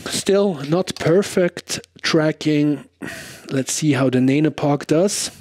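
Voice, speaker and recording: A man's voice: on the high side, takes it slowly, over loud music. A man giving a casual product demo commentary as the presenter, thinking aloud informally and often checking for agreement. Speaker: presenter of a guitar pedal comparison.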